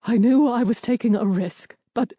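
A woman's voice: on the high side, normal speed, warm tone, dry recording, studio quality, natural voice. A woman playing an earnest young female intelligence clerk, anxious but determined, with an urgent, pleading manner. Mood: anxious, insistent. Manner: pleading, self-justifying, urgent.

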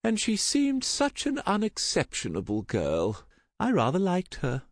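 Man as a gentle, high-voiced older mother. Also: huge pitch swings, measured pace, no room sound, clean studio sound, full character voice. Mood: concerned, wistful. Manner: soft, wistful, genteel. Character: gentle, tender-hearted, sorrowful widowed older mother. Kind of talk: audiobook character acting.

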